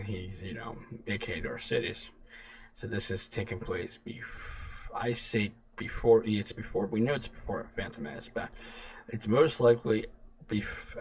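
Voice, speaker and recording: A man giving casual, rambling reaction commentary in a halting, repetitive way. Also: low-pitched, gravelly, recorded from a distance. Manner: halting, repetitive, loose phrasing. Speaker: reaction video host.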